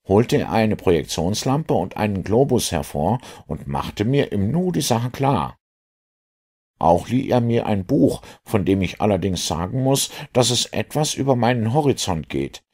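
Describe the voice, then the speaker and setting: Man reading as earnest, lively police inspector. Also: lowish voice, slightly rough, pitched above the performer's own voice, exaggerated voice. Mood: sceptical, chatty. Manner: questioning, insistent. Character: earnest, sceptical, matter-of-fact male police inspector.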